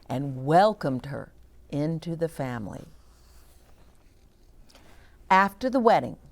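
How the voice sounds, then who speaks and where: A woman's voice: low voice, gravelly, darkish tone. A woman reading a children's storybook aloud as a story time host, with short explanatory asides to young listeners.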